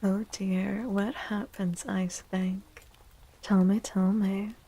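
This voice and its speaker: A woman streams in a hushed, breathy ASMR voice that often slips toward a whisper. Her tone is mellow and creaky at the edges, and she takes her time. She chats gently, with a playful, theatrical way of drawing out words. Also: mid-range voice, level tone, takes it slowly, poor audio. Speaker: streamer hosting a tarot and astrology stream. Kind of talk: soft-spoken ASMR, casual live stream chat.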